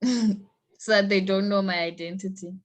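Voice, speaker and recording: A young woman from Zimbabwe with a Zimbabwean accent, an interview guest who speaks haltingly and repeats her words. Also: mid-range voice, fairly even intonation. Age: young adult. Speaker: guest, university student.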